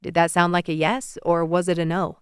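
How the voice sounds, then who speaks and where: A woman voicing a confident, playful young man in an audiobook, speaking softly in a slightly lower register. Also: little pitch movement, a little fast, clean tone, no echo, clean studio sound, close to an everyday voice. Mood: teasing. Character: charming young male president, confident, playful suitor. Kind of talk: audiobook character voice.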